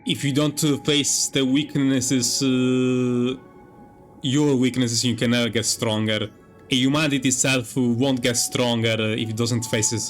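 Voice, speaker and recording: Halting, thoughtful male game streamer. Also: mid-range voice. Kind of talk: casual commentary over a game story.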